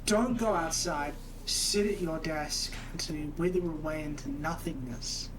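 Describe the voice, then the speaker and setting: A woman's voice: low voice, unhurried, noisy background. A woman giving casual, opinionated commentary in a blunt, scornful, sweary manner.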